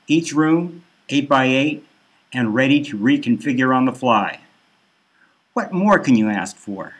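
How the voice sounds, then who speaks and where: A man reading his own dark satirical novel as a first-person audiobook narration. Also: medium pitch.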